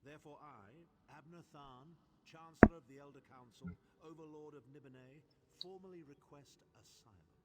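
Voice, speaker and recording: An actor playing a haughty, sardonic older chancellor in a video game, speaking formally and with dry sarcasm. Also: male voice, on the high side, moderate pace, hardly any pauses, natural voice. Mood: guarded. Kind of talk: video game character voice acting. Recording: clearly noisy game capture with ambience.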